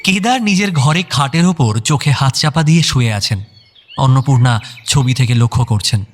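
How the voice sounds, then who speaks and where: A man's voice: mid-range voice, moderate pace. A male narrator reads a scripted dramatic story. His voice sits in a middle register, and faint music plays underneath.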